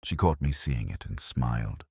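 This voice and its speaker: A man playing a melancholy, literary police detective, recounting a case in a measured, solemn, reflective way as scripted audio mystery narration. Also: extremely low pitch, lively intonation, creaky, breathy edge, dry recording, clean studio sound, heavily acted. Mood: brooding, reflective.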